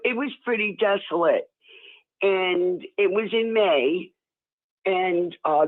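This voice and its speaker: Older lady with a long island new york accent, talks real slow, tinny phone line sound. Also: on the low side. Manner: slow, unhurried storytelling. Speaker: guest. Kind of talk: telling her life story. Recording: phone-like call audio.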